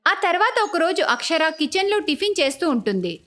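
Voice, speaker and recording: A woman's voice: very high voice, sing-song, fairly quick, sharp tone. A woman narrating a scripted cartoon story as its storyteller.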